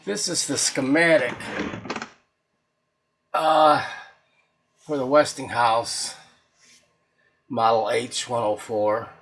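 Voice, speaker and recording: A man casually talking through a hands-on restoration as he works, folksy and halting, often muttering to himself in a husky voice. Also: medium pitch, steady tone, a bit bright. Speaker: host of a radio repair video, hobbyist restorer. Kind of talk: casual unscripted workbench narration while handling the work.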